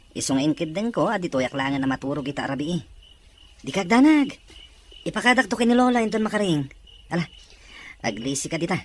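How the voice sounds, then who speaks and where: A woman acts out a helpful young cousin with an Ilocano accent. The delivery is animated radio acting in a slightly low female register, friendly and eager, over faint noise. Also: lightly acted. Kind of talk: Filipino radio drama acting.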